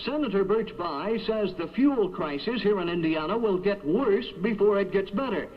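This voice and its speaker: High-pitched man reading 1970s radio news. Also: animated, moderate pace. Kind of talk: scripted 1970s radio newscast. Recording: dull vintage AM radio aircheck.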